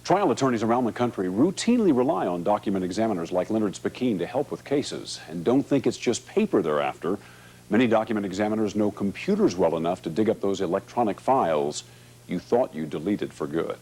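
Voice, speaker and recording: A man reads scripted television feature narration. His voice is low, dark and husky. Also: lively intonation, brisk.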